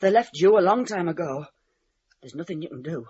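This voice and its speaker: A man's voice: fairly high pitch, lively intonation, brisk, darkish tone, breathy edge, muffled recording. An actor playing a kindly, worldly-wise older man, giving firm advice in a radio play. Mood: earnest, consoling.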